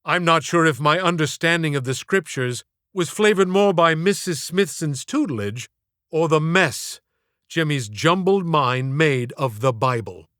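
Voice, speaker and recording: A man's voice: fairly high pitch, expressive, measured pace, fairly bright tone, no echo, clean studio sound. A man narrating a comic story as an audiobook, in a theatrical, wry, mock-formal way.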